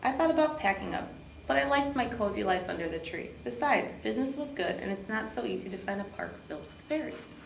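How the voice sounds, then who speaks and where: A woman reading a children's storybook aloud with expressive narration. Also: mid-range voice, fairly quick, creaky. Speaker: park district program supervisor reading to children. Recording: echoey room with background noise.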